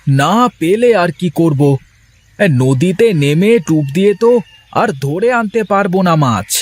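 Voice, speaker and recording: A worn-out cartoon fisherman, played by a man, speaks in a husky, lively voice, full of sighs and resignation. The sound is close. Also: high voice, a little slow. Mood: weary. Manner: sighing, resigned. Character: weary put-upon fisherman husband. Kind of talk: Bengali cartoon voice acting.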